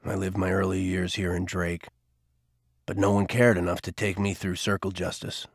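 A man voicing a quiet, regretful older mentor, speaking low and subdued. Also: level tone, normal speed, dry recording, studio quality.

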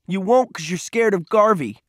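A man voicing a furious, wounded boy in an audiobook story, bitter and taunting, shouting his accusations. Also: high-pitched, moderate pace, no room sound, studio quality, voice pushed up. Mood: accusing.

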